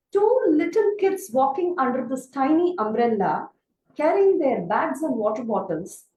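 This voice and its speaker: A woman storyteller speaks in a high voice, slowly and deliberately. She is expressive and animated, as if telling a tale to children. The room around her is echoey. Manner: expressive, deliberate, asks listeners questions.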